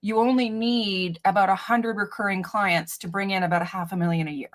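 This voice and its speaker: A woman from Canada hosts a relaxed interview, chatty and quick to laugh. She slips into confident advice mode, talking straight to her audience. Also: medium pitch. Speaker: host, cleaning business coach. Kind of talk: casual interview chat.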